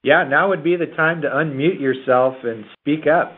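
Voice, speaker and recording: A man moderating an informal conference call over the telephone, speaking in a halting way. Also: fairly high pitch, breathy edge. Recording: muffled telephone line.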